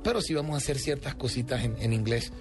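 A man's voice: medium pitch, quick pace, music in the background. A man, a Colombian singer, talks expansively and with enthusiasm about his album in a radio interview. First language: Spanish. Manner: expansive, enthusiastic, lists songs. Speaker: singer guest.

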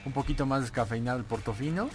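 A man's voice: mid-range voice, quick pace. Co-host guy, chill, mid voice, dry comments, music underneath. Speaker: radio co-host. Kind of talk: casual radio chat.

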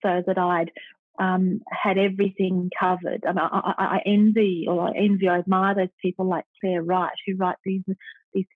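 A woman's voice: fairly low pitch, little pitch movement, moderate pace, no room sound. A middle-aged Australian woman author, talking thoughtfully in an interview and stopping and restarting as she goes. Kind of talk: interview and reading aloud. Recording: dry, phone-like line.

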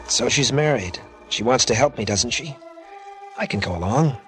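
An actor playing an ambitious, opportunistic young artist, brooding and calculating, in 1950s radio drama style. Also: male voice, mid-range voice, lively intonation, normal speed, lightly acted. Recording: old radio recording, music behind.

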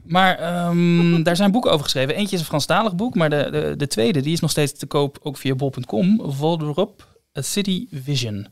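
A man's voice: fairly high pitch, moderate pace, hardly any pauses, no room sound, studio quality. A man co-hosting a casual podcast, searching for his words as he explains. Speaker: podcast co-host.

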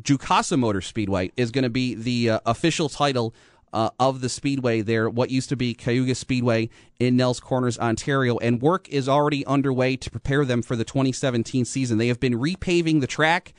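An American man hosting a motorsport radio show, talking informally and haltingly, often rephrasing mid-sentence. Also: medium pitch, no echo, studio quality. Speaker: radio host. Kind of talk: motorsport talk radio monologue.

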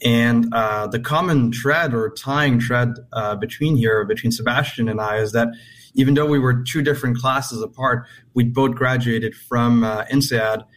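A man's voice: mid-range voice, moderate pace, fairly bright tone, a little hiss or hum, rough recording. A man speaking conversationally as a guest investor in an interview.

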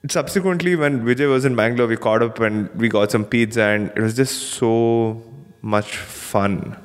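A man's voice: medium pitch, moderate pace, clean tone, in a large hall. A man with an Indian accent hosts a podcast in a chatty, informal way, speaking directly and casually. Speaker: podcast host. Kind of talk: casual podcast introduction and interview chat.

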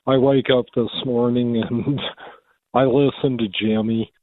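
A man's voice: medium pitch, steady tone, unhurried, dry recording. A man calling a radio show as a fan, chatting informally and effusively over the phone. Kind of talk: casual chat. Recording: tinny, narrow phone line.

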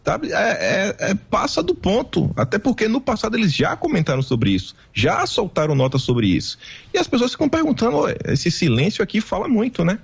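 A man from Bahia with a Bahian Brazilian accent, a remote guest giving animated, casual political commentary. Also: high-pitched, fairly quick, gravelly, slightly rough, lo-fi sound. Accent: Bahian Brazilian Portuguese. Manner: animated, mimics others' voices in quotes.

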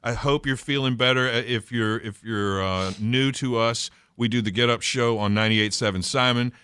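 A man's voice: mid-range voice, moderate pace, sharp tone, clear, resonant tone, no room sound. A man hosting a morning radio show, chatting casually and thoughtfully with his co-hosts.

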